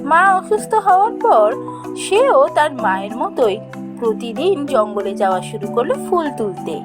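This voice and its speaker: A woman narrates a children's fairy tale in a high voice. She tells it in short phrases with pauses, with music playing underneath. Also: big pitch swings.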